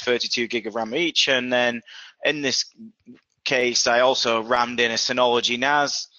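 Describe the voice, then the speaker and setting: A man's voice: mid-range voice, sharp tone, dry recording, muffled recording. A man in his thirties with a Yorkshire accent, presenting casually as a guest on a web call, chatty and self-deprecating. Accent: Yorkshire English. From Yorkshire, England. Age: 30s.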